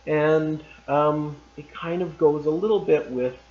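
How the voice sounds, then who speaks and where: Male teacher, story read-aloud, calm and measured, echoey room, slightly dull sound. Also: fairly high pitch. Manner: calm and measured, loose and chatty after reading. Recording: roomy, slightly dull recording.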